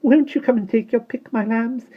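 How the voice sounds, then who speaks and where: A shrill tiny ancient crone. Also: normal speed, narrow, tinny audio. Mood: coaxing. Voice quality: shrill, high little voice. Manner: cackling, swings from sweet to fierce. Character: tiny ancient shopkeeper woman, shrill and bossy. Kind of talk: storybook reading.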